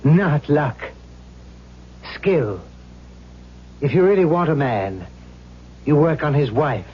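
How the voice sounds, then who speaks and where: An actor playing a smug, scheming devilish villain in an old-time radio drama, sardonic and deliberate. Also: male voice, a little high, animated, breathy edge, exaggerated voice. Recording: muffled, hissy old radio drama recording.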